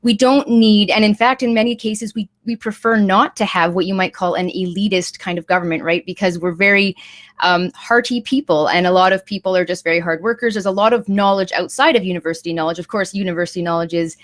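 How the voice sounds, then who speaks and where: A woman from Saskatchewan interviews a guest over a video call. She talks quickly and chats her way through long, winding questions, leaning on "um" and "you know". Her pitch sits at an ordinary middle level for a woman. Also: fairly even intonation. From Saskatchewan, Canada. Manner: rambling, chatty, with frequent um fillers. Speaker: YouTube interviewer.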